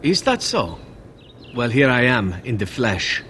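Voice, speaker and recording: An actor playing a suave, taunting elven assassin in a fantasy video game. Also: male voice, medium pitch, sing-song, measured pace, pushed and bright, slight background noise. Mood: wry challenge. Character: suave male elven assassin turning on his companion.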